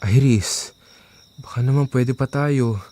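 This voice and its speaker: A man's voice: medium pitch, slow pace, mellow, lightly acted. A man acting a remorseful young ex-playboy who earnestly pleads for his love in an audio drama.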